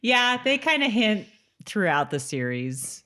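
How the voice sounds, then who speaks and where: A woman's voice: mid-range voice, sing-song, unhurried, bright, forceful tone. A woman co-hosting a podcast in casual, informal, bantering chat. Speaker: podcast co-host.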